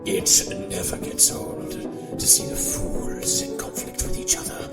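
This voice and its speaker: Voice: a little slow, lightly acted. An actor playing a sinister, gloating demonic manipulator who savors suffering, in a whispered monologue for a fan comic dub. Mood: gloating, cruel. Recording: echoey, distant, under loud music.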